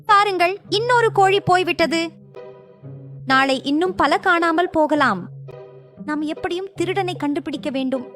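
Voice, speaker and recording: A woman's voice: extremely high pitch, a little slow, long pauses, a bit bright, faint music behind, voice pushed up. A woman voicing a clever young village wife in a children's story, with emphatic delivery. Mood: urgent. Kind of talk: children's moral story dialogue.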